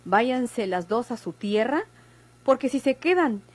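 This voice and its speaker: An actress playing a composed, firm nurse in a Mexican radio soap, with formal, deliberate diction and a Mexican accent. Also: female voice, fairly high pitch, lively intonation, husky. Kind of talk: Mexican golden-age radio soap acting.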